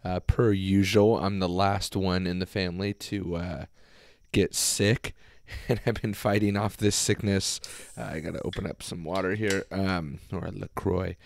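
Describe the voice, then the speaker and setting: Hoarse guy hosting his podcast, super low voice, voice almost gone, rambling with lots of uhs. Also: fairly even intonation, unhurried, no room sound. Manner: rambling, many fillers and restarts. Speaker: podcast host. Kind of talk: casual solo podcast talk.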